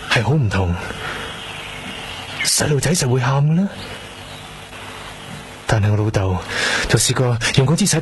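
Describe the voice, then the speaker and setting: An actor with a Hong Kong accent playing a quiet, brooding young trainee doctor in a radio drama. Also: male voice, mid-range voice, lightly acted. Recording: clearly noisy ambient background.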